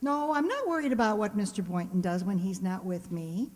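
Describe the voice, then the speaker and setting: A woman's voice: mid-range voice, darkish tone, big-room sound, natural voice. A woman playing a sardonic, wisecracking English teacher with dry, arch delivery in old-time radio comedy style. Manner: dry, arch, quick with quips.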